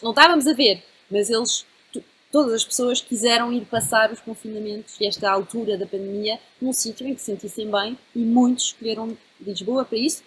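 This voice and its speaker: A woman's voice: on the high side, fairly bright tone, husky, rough recording. A woman in her thirties with a European Portuguese accent, chatting in a panel discussion and often checking in with tag questions. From Belgium (Brussels), Portuguese family. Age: 30s.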